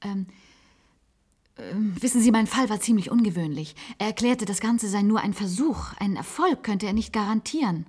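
An actress playing a wistful, resigned former secretary in a German science-fiction radio play, confiding in halting phrases. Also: female voice, mid-range voice, expressive, a little mellow, breathy edge. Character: wistful former secretary, resigned patient living in an isolated institute.

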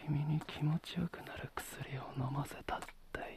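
A man playing a possessive, coaxing and domineering lover in an intimate adult audio drama, breathy and often dropping into whispers. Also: a little high, steady tone, moderate pace, natural voice. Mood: teasing, hushed.

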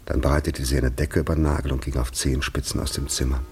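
A man's voice: extremely low pitch, flat delivery, fast, darkish tone, breathy edge, heavily acted. A man narrating a literary radio drama as a grave storyteller, reading from a script.

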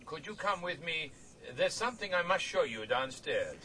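An actor playing a preoccupied, absent-minded archaeologist husband in a radio drama, sounding distracted. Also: male voice, a little high, very animated, moderate pace, bright, forceful tone, a little hiss or hum, rough recording. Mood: absorbed.

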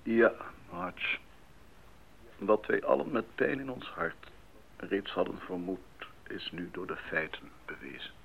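An actor playing a grave, sorrowful doctor in a radio drama, speaking in a solemn, hushed way. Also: male voice, low-pitched, expressive, measured pace, gravelly, full character voice. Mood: grief-stricken, subdued. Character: grave, sorrowful doctor breaking news of deaths. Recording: dull old recording with hiss.

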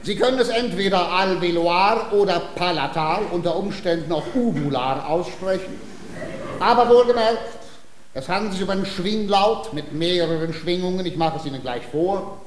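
Radio comedy, male: actor as a pompous, patient tutor, highish pitch, didactic phrasing, in a roomy space with faint noise. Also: normal speed, lightly acted. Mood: pedantic. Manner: didactic, precise, formal. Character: pedantic, patient male language tutor, self-important. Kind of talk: theatrical classic-comedy radio acting.

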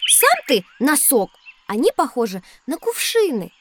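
A woman's voice: high-pitched, huge pitch swings, unhurried, background noise, full character voice. A woman playing a curious, sensitive young girl with an earnest manner in a children's educational audio drama. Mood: earnest, indignant. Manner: inquisitive, earnest.

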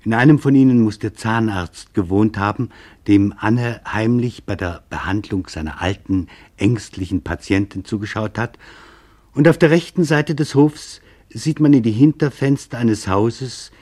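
A man narrating a scripted literary documentary in a grave, measured way with deliberate pauses. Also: on the low side, darkish tone.

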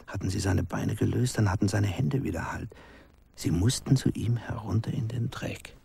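An actor playing a grave village man who recounts a haunting past in a hushed, halting radio drama narration, his husky voice often sinking to a whisper. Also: on the low side, slight background noise. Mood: grave, haunted. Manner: hushed, halting storytelling in short sentences.

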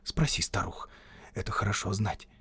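A gentle village woman, as a male reader plays her, speaking low and softly in a dry, intimate storybook recording. She is weary and tender, counselling in folksy country speech. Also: lively intonation, moderate pace, breathy edge, no echo, heavily acted. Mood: soft, confiding. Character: gentle, world-weary peasant woman giving soft, wise counsel.

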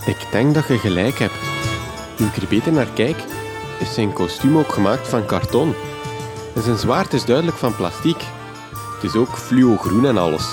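Man playing an earnest reporter, medium pitch. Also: expressive, normal speed, long pauses, music in the background, close to an everyday voice. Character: earnest, sensible star reporter adventurer. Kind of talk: comedic radio play acting.

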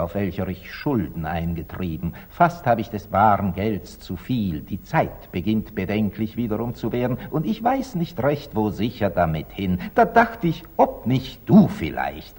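An actor playing a wise, dignified, middle-aged merchant in measured, solemn declamation, in the style of 1940s radio drama. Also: male voice, fairly low pitch, darkish tone, slightly rough, heavily acted.

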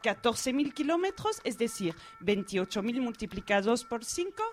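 Noisy recording, high animated female scientist, played by an actress, pompous, theatrical, spoof radio play. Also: normal speed. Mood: pompous, assured. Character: pompous, self-assured female professor of astronomy. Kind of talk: parody radio drama acting. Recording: noticeable background noise.

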